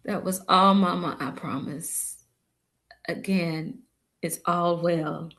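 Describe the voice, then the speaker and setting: Woman as a slow, hesitant young village daughter. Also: lowish voice, fairly even intonation, fairly bright tone. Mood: earnest, timid. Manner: hesitant, halting, with repeated words. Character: earnest, playful young village daughter, curious and dutiful. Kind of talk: staged script read of a drama.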